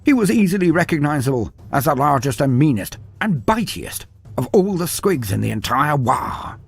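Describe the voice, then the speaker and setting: A male storyteller with a husky voice and a lively, swooping delivery. He narrates a scripted tale theatrically and with mock grandeur, over music. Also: on the high side, moderate pace, no room sound.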